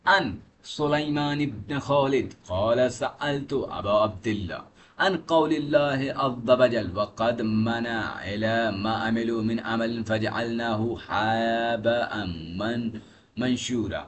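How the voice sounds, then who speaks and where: Man doing a religious lesson, really slow n deliberate, emphatic teacher style, noisy recording. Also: medium pitch. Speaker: religious teacher reading and explaining narrations. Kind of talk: religious lesson, reading aloud with commentary. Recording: clearly noisy recording with background ambience.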